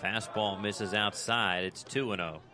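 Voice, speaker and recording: An American man doing live radio play-by-play of a baseball game, in clipped descriptive phrases that turn conversational between pitches. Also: steady tone, measured pace, crowd noise. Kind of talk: live sports commentary.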